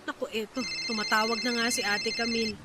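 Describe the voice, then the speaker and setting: A woman's voice: on the high side, level tone, fairly quick, loud music behind the voice, lightly acted. A woman playing a young woman stranded at night after a bus cut its route, complaining in exasperation in a radio drama. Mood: exasperated.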